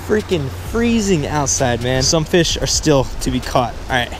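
A young man vlogging outdoors by the water on a windy day, chatty and excitable, talking into an action camera. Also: medium pitch, a little slow, faint music behind. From Delaware, US. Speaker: fishing vlogger. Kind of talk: casual vlog narration. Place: outdoors by a windy spillway, also a store and a parked car. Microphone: action camera, handheld or chest-mounted. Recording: outdoor wind noise.

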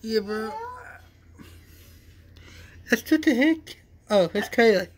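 A young adult man chatting casually on a video call, repeating himself in a halting way. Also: high-pitched, animated. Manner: repetitive, halting delivery. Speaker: amateur vlogger. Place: small room at home. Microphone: phone camera at arm's length.

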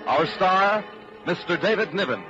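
A man's voice: sits high, expressive, measured pace. Old-timey radio announcer guy, very formal, muffled sound, bit of music behind. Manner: formal, declamatory. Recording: muffled 1940s radio broadcast.

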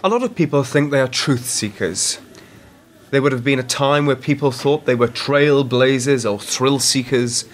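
A man playing a dry, measured, self-deprecating former intelligence worker turned author in a radio play. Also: mid-range voice, natural voice. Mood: cynical, measured. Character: wry, world-weary male author and former intelligence worker. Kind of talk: radio drama dialogue.

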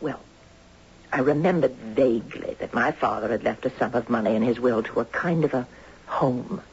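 An actress playing a genteel, hesitant elderly widowed grandmother in a 1970s radio drama, wistful and breathy. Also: a bass voice, normal speed, a little mellow, exaggerated voice. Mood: apologetic, earnest. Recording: dull 1970s radio broadcast recording.

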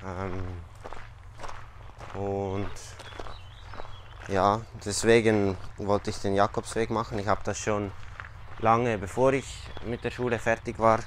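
A man's voice: fairly low pitch, level tone, background noise. A man in his twenties from Switzerland with a Swiss accent, giving casual, hesitant interview answers outdoors. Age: 20s. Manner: hesitant, measured, halting phrasing. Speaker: interview guest, pilgrim. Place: outdoors on a field path and at a garden table.

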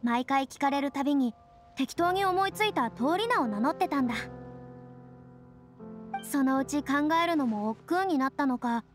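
An actress playing an expressive, proud, boyish young woman in a video game, with slightly grand phrasing. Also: high-pitched, normal speed, long pauses, faint music behind. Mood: earnest, explaining. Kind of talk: Japanese game voice acting.

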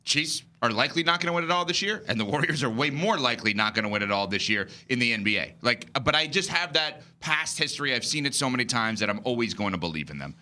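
A man hosting a studio sports debate, earnest and questioning, at a desk boom mic. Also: lively intonation, fast talker, few pauses, sharp tone. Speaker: show host.

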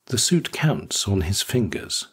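A man narrating a scripted literary short story in a husky voice. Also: fairly low pitch, sing-song, slow pace, a bit bright.

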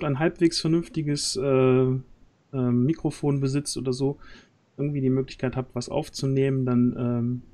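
Halting man, mellow livestream host. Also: mid-range voice. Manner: halting, searching for words. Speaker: stream host and audio drama organiser. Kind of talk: casual livestream chat. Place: small room at home, on a group video call.

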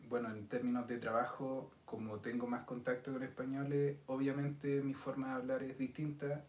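A man in his thirties with a Chilean accent, a podcast guest explaining things in a thoughtful, measured way. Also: medium pitch, level tone, a little mellow. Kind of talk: casual chat. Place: indoor studio set with city backdrop. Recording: roomy sound with faint noise.

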